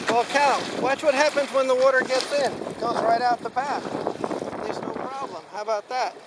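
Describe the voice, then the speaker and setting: A man's voice: very high voice, fairly even intonation, moderate pace. A middle-aged man sailing with his son on a small boat, cheerfully narrating and explaining as he goes. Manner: upbeat, explaining to his child. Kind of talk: casual narration while sailing. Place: outdoors on a small sailboat on a bay. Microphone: action camera on the boat. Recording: loud wind and water noise.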